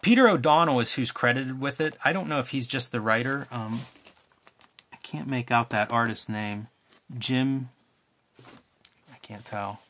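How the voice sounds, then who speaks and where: A man in a casual show-and-tell chat about old comics, relaxed and musing, trailing off with fillers. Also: mid-range voice, slight background noise. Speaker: co-host showing comics.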